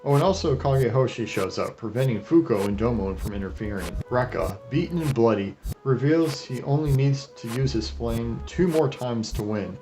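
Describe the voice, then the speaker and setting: A man's voice: medium pitch, lively intonation, normal speed, few pauses, mellow, soft background music, rough recording. A man narrating a casual anime retrospective as its host, with an irreverent, sarcastic delivery and profane asides. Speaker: anime reviewer and video host. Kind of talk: casual scripted retrospective narration over clips.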